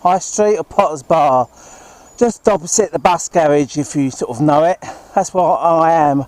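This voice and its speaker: A man's voice: fairly high pitch, normal speed, darkish tone. A man chatting casually on a handheld two-way radio, polite and often repeating himself. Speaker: CB radio operator testing a handheld while walking. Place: outdoors on a high street and park walk.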